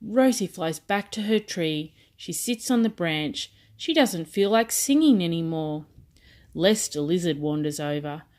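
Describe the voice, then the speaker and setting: A woman narrating children's storybooks at bedtime, with simple, deliberate read-aloud phrasing for small children. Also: fairly low pitch, animated, a little mellow.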